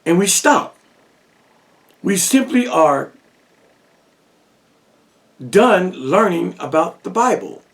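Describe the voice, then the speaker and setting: A man lectures on religion at a slow, deliberate pace, stacking rhetorical questions and repeating lines for effect. His voice sits high and carries a gravelly edge. He sounds set back from the microphone. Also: sing-song, distant mic. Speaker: religious teacher. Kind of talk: lecture-style monologue.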